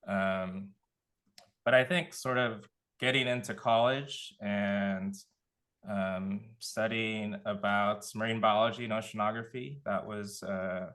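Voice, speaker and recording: A man with a lowish, bright and forceful voice talks about his path into his field. He speaks casually, pausing with frequent ums and uhs as he thinks aloud. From Contra Costa County, California. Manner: relaxed, many fillers, thinking aloud. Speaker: panelist, nonprofit director. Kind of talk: casual career talk.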